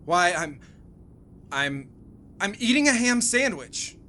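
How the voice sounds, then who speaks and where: A woman's voice: on the low side, big pitch swings, slow pace, sharp tone, slight background noise. A woman playing an indignant, flustered male suspect being interrogated in a melodramatic comedy sketch. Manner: melodramatic, pleading. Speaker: comedy podcast sketch performer. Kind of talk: theatrical sketch acting.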